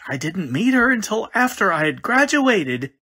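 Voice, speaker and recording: A man acting a modest, flustered bereaved husband in an old-time radio drama, halting and hesitant. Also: high-pitched, very animated, sharp tone, breathy edge, full character voice. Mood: flustered, evasive.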